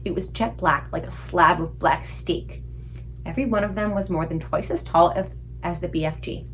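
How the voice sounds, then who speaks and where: A woman reading a children's book aloud in an expressive storytelling style. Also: fairly low pitch, normal speed, a little hiss or hum, low-quality recording. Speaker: read-aloud book reader.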